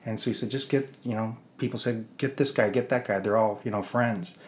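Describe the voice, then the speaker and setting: A man's voice: fairly low pitch, brisk, a little hiss or hum. A middle-aged man with an American accent, talking as an interview guest in a casual, rambling storytelling way. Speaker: interview guest, theater producer and actor.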